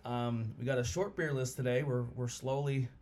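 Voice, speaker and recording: A man hosting a casual podcast over beers, talking in a relaxed, rambling way with lots of fillers. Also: mid-range voice, moderate pace, a little hiss or hum. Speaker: podcast host.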